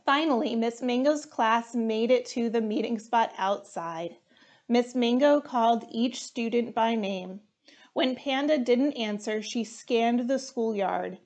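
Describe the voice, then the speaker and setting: A woman in her thirties reading a children's storybook aloud in an expressive, deliberate way. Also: a little high.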